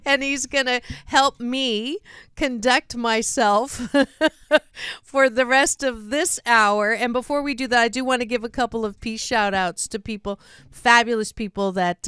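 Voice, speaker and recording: A middle-aged woman hosts a radio talk show in a chatty, bubbly way, laughing often as she goes. Her voice is smooth and resonant at a middle pitch. The sound is dry and close. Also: fairly bright tone, dry recording. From Canada. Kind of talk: casual radio chat with guests.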